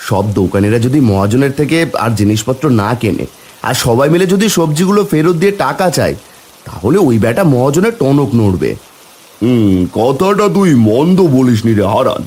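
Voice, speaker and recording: A man voicing village menfolk in a cartoon, speaking in an animated, homely way. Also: mid-range voice, moderate pace, natural voice. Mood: urging, then concerned. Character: village men: concerned headman and eager helpers. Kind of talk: cartoon character dialogue.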